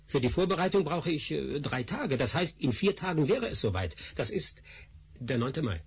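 An actor playing a measured, technical male scientist who turns gentle and tender with his family in a radio play. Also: fairly high pitch, very animated, husky, heavily acted. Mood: determined. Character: male scientist Doktor Spassky, calm and determined.

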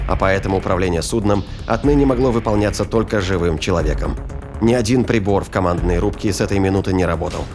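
A man with a fairly low voice narrates a science-fiction thriller. His delivery is formal, measured storytelling, set against clearly audible music.

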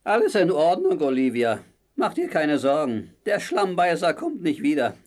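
A man playing a gruff but good-hearted sailor with a gravelly, blustery growl in a German children's comedy audio play. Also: fairly high pitch, wildly swooping pitch, normal speed, darkish tone. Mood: soothing, grudging. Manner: blustery, grumbling, muttered exclamations.

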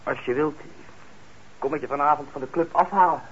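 A man's voice: fairly high pitch, brisk, a bit bright, lightly acted. An actor playing a broke, sardonic young man in a radio play, grumbling and muttering in a naturalistic way. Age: young adult. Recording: noisy, muffled old radio drama recording.

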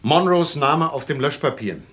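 An actor playing a curious, polite gentleman sleuth in a German radio crime play, speaking briskly. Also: a little high, lively intonation, a little hiss or hum. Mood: questioning.

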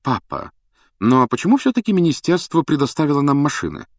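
A man's voice: mid-range voice, animated, moderate pace, no echo, voice pushed up, lightly acted. A man voicing a pompous, self-important teenage boy prefect in a storybook, formal and haughty. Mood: solemn.

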